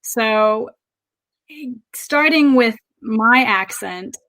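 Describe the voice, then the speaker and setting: Brief: American woman co-hosting a chat podcast, thoughtful and unhurried, slightly higher voice. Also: fairly bright tone, poor audio. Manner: reflective, measured, with frequent ums. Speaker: podcast co-host.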